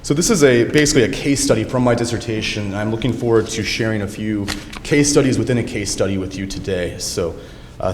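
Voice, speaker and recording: A young man giving an academic lecture in a friendly, measured way, with occasional fillers. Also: lowish voice, darkish tone. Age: young adult. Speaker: lecturer, doctoral candidate. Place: lecture hall. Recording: lecture hall reverb, faint noise.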